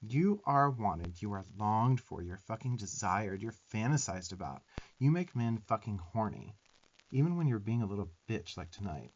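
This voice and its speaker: Sassy young demon twink, acted by a man, swooping pitch. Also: lively intonation, normal speed, breathy edge, lo-fi sound. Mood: firm, tender. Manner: sassy, emphatic, profane tough-love delivery. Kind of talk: ASMR affirmation roleplay.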